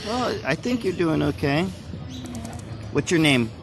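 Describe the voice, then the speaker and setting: A man's voice: fairly high pitch, sing-song, measured pace, music in the background. An American man from Florida in casual conversation, using simple, encouraging English for learners. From Florida, United States. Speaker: street interviewer and English conversation partner. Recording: noisy outdoor ambience. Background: clear ambient noise.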